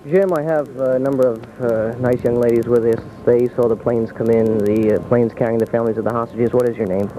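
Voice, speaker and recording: A male radio news reporter speaks live from the field with a very dull, dark tone. His delivery is improvised and slightly halting, with formal wording. The sound is an old radio recording with faint noise. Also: medium pitch, steady tone. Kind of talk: live on-scene reporting and brief street interviews. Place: outdoors at an airport. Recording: muffled, noisy 1980s radio aircheck.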